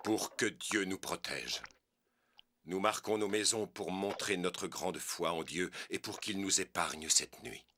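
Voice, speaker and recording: A man voicing a resolute, solemn prophet in an animated film, speaking gravely and earnestly for his people. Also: low-pitched, a little slow, slight background noise, heavily acted.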